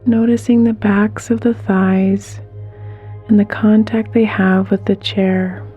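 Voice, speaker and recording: Slow, mellow woman guiding meditation. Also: medium pitch, flat delivery, slightly rough, lo-fi sound, soft background music. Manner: gentle, soothing, unhurried pauses. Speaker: guided meditation instructor. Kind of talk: guided meditation.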